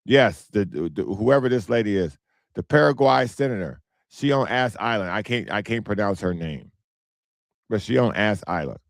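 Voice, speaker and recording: An American man hosts his own podcast, talking bluntly and going over the same points twice. His voice is hoarse and strained, with a gravelly rasp. The sound is dry and close. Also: fairly low pitch, normal speed, no echo. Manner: animated, blunt, repeats himself. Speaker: podcast host. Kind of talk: casual solo commentary.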